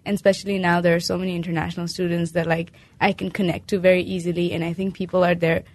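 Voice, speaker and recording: Young woman with a flat, creaky voice. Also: fairly low pitch, fast, no echo. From Nepal. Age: young adult. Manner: casual with "like" fillers. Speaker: student club treasurer.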